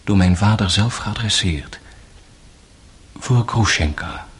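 Radio play narrator: sorrowful young man, very husky, deep, measured and literary. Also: heavily acted. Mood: grave, measured. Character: grave, sorrowful young man narrating his brother's trial. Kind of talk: scripted radio drama narration.

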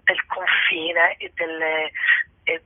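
Raspy woman on a tinny phone line. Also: low voice, flat delivery, slow pace. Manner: hesitant, searching for words, frequent "diciamo". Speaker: theatre company artist presenting productions. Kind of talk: informal interview answer, explaining. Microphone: telephone. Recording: tinny, narrow telephone line with echo.

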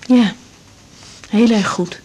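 A woman's voice: mid-range voice, slow pace, darkish tone, breathy edge. An actress playing a young woman doctor, a restrained and protective daughter, speaking hesitantly in a 1980s radio drama. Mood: subdued, shaken. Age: young adult. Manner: hesitant, subdued.

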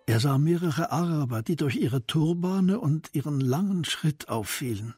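A man narrating a scripted radio drama with a very husky, breathy voice. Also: on the high side, expressive, normal speed, darkish tone, no room sound.

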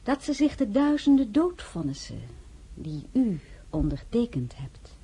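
A woman's voice: on the high side, sing-song, measured pace, warm tone, husky, exaggerated voice. A cool, cutting noblewoman. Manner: cool, cutting, sometimes whispered. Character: proud young noblewoman, sharp-tongued heroine. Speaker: narrator and lead role. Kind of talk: radio play acting.

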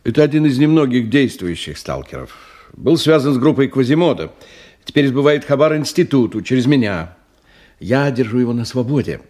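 A man in his fifties in a radio play, with a middle-pitched voice. He reports to his boss in stiff, official phrasing and slips into irritable, muttered asides. He is a testy subordinate. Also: very animated, a little fast, a little mellow. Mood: businesslike. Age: 50s. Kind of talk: Russian radio drama acting.

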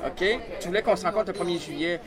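A man with a Quebec French accent talks in a rather high voice, direct and unhurried, explaining things with many pauses. Loud chatter from a busy café fills the background of this noisy crowded recording. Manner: direct, explains at length with fillers. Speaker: radio host. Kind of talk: casual conversation.

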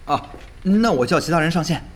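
An actor playing an eager, deferential gamer guild organizer in an audio drama, chatty and excitable. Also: male voice, on the high side, a little fast. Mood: businesslike.

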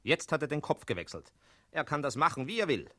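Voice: medium pitch, very animated, a little fast, sharp tone. An actor voicing a defiant talking tomcat who meows between phrases in a 1960s radio play. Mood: sly, explanatory.

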